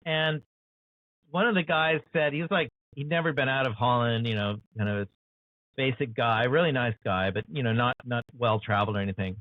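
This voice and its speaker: A man's voice: mid-range voice, fairly even intonation, fairly quick, no room sound. American man on a tinny call line. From United States. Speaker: author guest. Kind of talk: earnest interview discussion. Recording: dry, tinny phone-like call audio.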